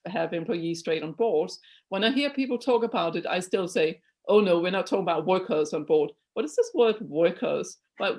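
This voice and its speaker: A woman's voice: on the low side, darkish tone. A middle-aged woman from Denmark, a board chair answering webinar questions over a video call in an earnest, emphatic way with frequent restarts. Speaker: guest speaker, board chair.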